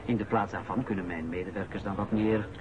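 An actor playing a calculating senior boss in a radio drama, speaking in a measured, formal, persuasive way. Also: male voice, on the low side, fairly even intonation, lightly acted. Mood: smooth, persuasive. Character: calculating male senior boss, smoothly bargaining over a cover-up. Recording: noisy lo-fi old radio play recording.

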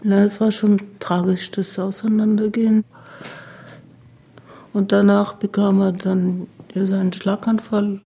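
A woman reminisces in a husky, dark voice, halting and understated. She speaks slowly and reflectively, as an interviewee looking back. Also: mid-range voice, little pitch movement. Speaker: interviewee recalling a former partner.

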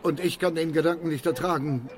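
High-pitched, defensive, evasive man played by an actor. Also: steady tone, close to an everyday voice. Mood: anxious. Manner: defensive, halting under pressure. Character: evasive, fatalistic, jittery man hiding a criminal past. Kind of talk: radio drama acting.

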